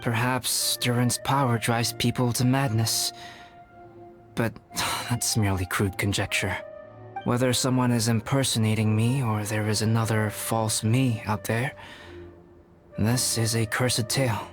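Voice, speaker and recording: A man's voice: on the low side, level tone, music in the background, natural voice. A man playing a composed, aloof young alchemist in a video game, speaking formally and thoughtfully. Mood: grave, guarded. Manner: measured, formal, scholarly. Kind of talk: dramatic video game voice acting.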